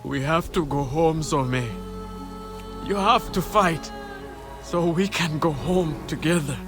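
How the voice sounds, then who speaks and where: A man's voice: fairly high pitch, slow, over music. A man playing a proud, anguished Na'vi hunter, speaking in a solemn, formal, poetic way for a game cutscene. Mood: grieving, pleading. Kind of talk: dramatic video game cutscene acting.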